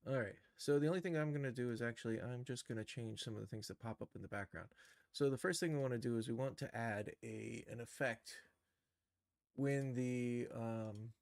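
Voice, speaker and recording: Guy streaming an animation tutorial, creaky voice, thinks out loud, close dry mic. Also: medium pitch, normal speed, no echo. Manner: informal, thinking aloud as he works. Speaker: streamer teaching game-engine animation. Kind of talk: casual live screen-share tutorial.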